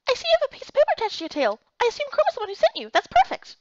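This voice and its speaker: A woman voicing an earnest, excitable young heroine, reading a fantasy game story in the first person with expressive, dramatic delivery. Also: extremely high pitch, very fast, muffled recording, pitched above the performer's own voice, heavily acted. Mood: excited.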